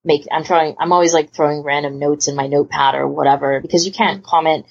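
Low, husky woman chatting casually. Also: fairly even intonation, fast talker. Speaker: podcast panelist. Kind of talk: casual chat about a hobby. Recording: muffled lo-fi voice recording.

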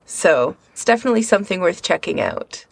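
A woman's voice: lowish voice, sing-song, brisk, creaky, husky. A woman co-hosting a podcast, explaining things in an informal, conversational way. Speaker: podcast co-host. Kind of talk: casual podcast chat.

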